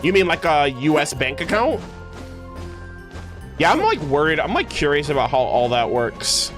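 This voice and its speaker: A man's voice: on the high side, very animated, moderate pace, a bit bright. A man chatting casually as a livestreamer, reminiscing and answering viewers in a rambling, conversational way peppered with "like". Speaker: video game streamer. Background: background music.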